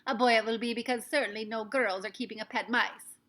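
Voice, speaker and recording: A woman's voice: on the high side, steady tone, brisk, a bit bright. A woman reading aloud, voicing a cruel, commanding female witch leader who barks haughty orders. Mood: imperious.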